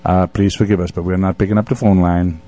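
Fast-talking man hosting radio. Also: sits low, level tone, warm tone. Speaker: radio show host. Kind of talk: casual radio interview chat. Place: radio studio.

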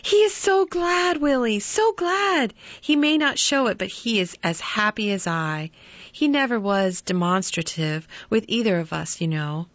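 Storybook mother, gentle and pleading, high and tender, read by a woman; dry, dull recording. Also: expressive, measured pace, no room sound. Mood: soothing. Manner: soft, loving, raised high and earnest.

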